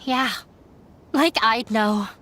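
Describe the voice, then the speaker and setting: A woman's voice: on the high side, drawn-out words, fairly bright tone, slight background noise. A woman voicing a self-blaming young runaway scholar in a video game, speaking in a subdued, resigned manner. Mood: bitter sadness. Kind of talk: English video game voice acting.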